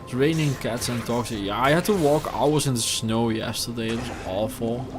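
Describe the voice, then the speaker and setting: A man's voice: medium pitch, normal speed. A man in his thirties with a Dutch accent, chatting casually with his live-stream chat while playing a game. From Netherlands. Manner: chatty, relaxed, answers chat between game moves. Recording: game music under the voice. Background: game music.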